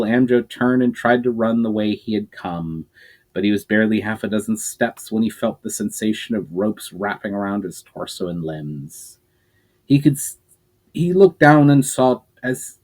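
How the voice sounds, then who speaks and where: Guy reading his fantasy book out loud, expressive, trips over a word here and there. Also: on the low side. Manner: expressive narration with occasional slips and restarts. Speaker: storyteller. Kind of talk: dramatic reading of a fantasy novel.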